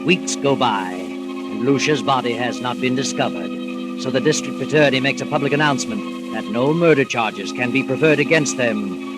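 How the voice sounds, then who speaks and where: A man delivers formal, dramatic 1940s radio narration as the announcer. His medium-pitched voice sits on loud music in an old broadcast recording. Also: normal speed.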